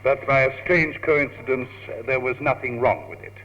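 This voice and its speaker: Wry, teasing British gentleman , played by a man. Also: medium pitch, fairly even intonation, normal speed, close to an everyday voice. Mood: dry sardonic. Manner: wry, sardonic, urbane. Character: dry, teasing, worldly British chap, Tony. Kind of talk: old British radio comedy acting. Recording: dull, noisy old radio tape, clicks.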